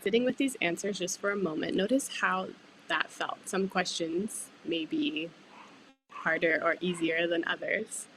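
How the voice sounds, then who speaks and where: A woman leading a guided breathwork meditation, speaking gently and soothingly with unhurried pauses. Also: lowish voice, creaky, slight background noise, poor audio. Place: live online group call.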